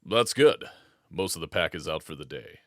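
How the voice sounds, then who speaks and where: A man's voice: very deep voice, lively intonation, normal speed, no room sound. An actor playing a friendly, joking male werewolf who guards his pack's home. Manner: laid-back, joking. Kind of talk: audio roleplay. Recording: dry close recording.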